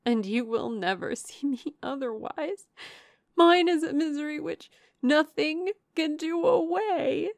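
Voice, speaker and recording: A woman's voice: high-pitched, lively intonation, takes it slowly, creaky, no echo, studio quality, voice pushed up, full character voice. A woman narrator voicing an anguished, heartbroken young woman from a classic novel, passionate and exclaiming wildly. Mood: wild despair. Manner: wild, exclaiming, lamenting.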